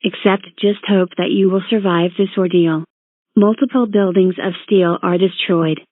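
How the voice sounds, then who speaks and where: A woman reading a scripted emergency broadcast announcement in a formal, measured, synthetic-sounding way. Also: mid-range voice, fairly even intonation, no echo. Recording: narrow tinny phone-like band.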